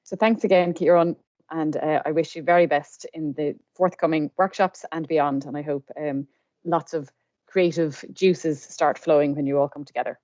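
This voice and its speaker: Very low-pitched Irish woman hosting. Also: fairly even intonation, normal speed, warm tone, no room sound. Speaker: podcast host. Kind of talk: conversational interviewing with informational announcements.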